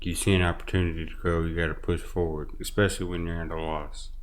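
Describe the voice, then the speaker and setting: A man giving personal testimony as an interview guest, halting and repeating himself, with determination. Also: deep voice, flat delivery.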